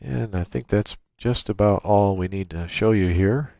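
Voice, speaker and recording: A man presenting an informal software tutorial, explaining things step by step into a USB microphone. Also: lowish voice, a little slow, vocal fry, dry recording. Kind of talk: informal software screencast walkthrough. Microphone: USB microphone through a noise gate.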